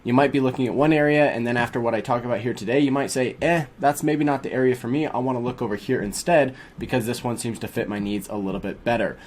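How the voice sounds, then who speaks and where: Young male vlogger, brisk and friendly, slightly congested, recorded in a small home studio. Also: mid-range voice, steady tone. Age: young adult. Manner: upbeat, fast-talking, addresses viewers as guys. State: a bit sniffly from a cold. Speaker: real estate agent. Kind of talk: casual explainer vlog.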